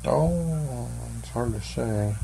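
An older man answering interview questions slowly and thoughtfully, with many pauses and fillers. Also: medium pitch, expressive, gravelly, heavy background noise. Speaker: interviewee, church member. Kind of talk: oral history interview.

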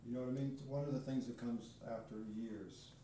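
A man interviewing a musician, asking curious, probing follow-up questions. His voice is mellow and set back from the mic. The room is very echoey, with faint ambience behind him. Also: mid-range voice, fairly even intonation, fairly quick, a little hiss or hum. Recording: roomy, distant with faint ambience.

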